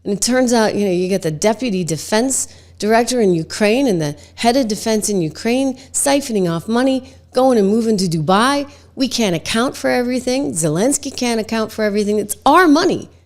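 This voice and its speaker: A middle-aged woman hosting a political talk show, slightly hoarse from a cold, talking emphatically and conversationally with lots of "like" fillers. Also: a little high, lively intonation, brisk, darkish tone. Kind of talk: opinionated political commentary.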